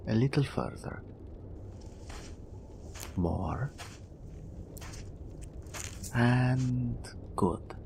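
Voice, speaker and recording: A man voicing a proud, mystical male naga oracle in an intimate roleplay audio drama, breathy and deliberate, referring to himself in the third person. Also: darkish tone, a little hiss or hum, exaggerated voice. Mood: brisk, imperious. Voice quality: breathy, whispery, gravelly low voice.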